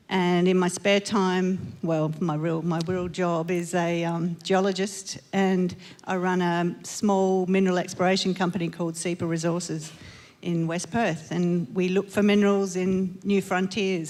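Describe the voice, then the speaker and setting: A middle-aged woman speaking on a church panel in a measured, matter-of-fact way. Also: fairly low pitch, darkish tone. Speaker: panelist, geologist. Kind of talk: panel conversation. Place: church stage at a live service.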